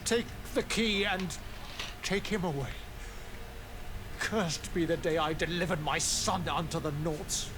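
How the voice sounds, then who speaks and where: A man's voice: on the high side, a little slow, close to an everyday voice. An actor playing a resentful, grieving middle-aged father in a video game, speaking formally and bitterly. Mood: bitter resignation. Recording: clear background noise from game ambience.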